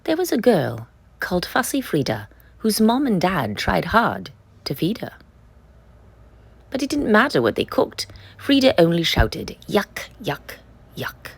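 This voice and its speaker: Female storyteller for children's books, unhurried, very animated delivery, dry close sound. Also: medium pitch, gravelly, husky, no room sound. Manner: expressive, emphatic storytelling. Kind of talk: children's picture book read-aloud.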